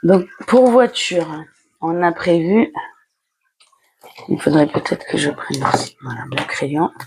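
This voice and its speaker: Slow-talking woman with a creaky voice. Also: low-pitched, expressive, a little mellow, slight background noise, low-quality recording. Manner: talks herself through each step in short, halting phrases. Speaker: budgeting vlogger. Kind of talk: casual step-by-step narration while handling cash envelopes.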